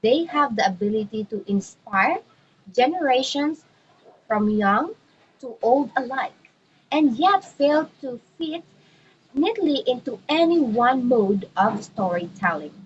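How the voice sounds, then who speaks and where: A woman's voice: on the high side, animated, slow pace, breathy edge, lo-fi sound. A woman reading a scripted educational narration aloud in a formal manner. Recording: noticeable background noise.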